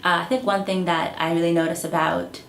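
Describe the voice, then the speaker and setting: A young adult woman from Kenya giving thoughtful, measured interview answers with frequent pauses and fillers. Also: on the low side, level tone, creaky, a bit bright, roomy sound, slight background noise.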